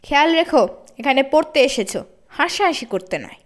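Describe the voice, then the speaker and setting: A woman's voice: high-pitched, lively intonation, moderate pace. A woman storyteller voicing a stern, indignant, spiteful woman schoolteacher with an emphatic, scolding manner.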